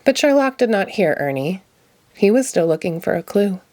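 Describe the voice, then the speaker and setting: A woman's voice: medium pitch, very animated, moderate pace, darkish tone, no echo. A woman narrating a children's picture book as a read-aloud. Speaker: storybook reader.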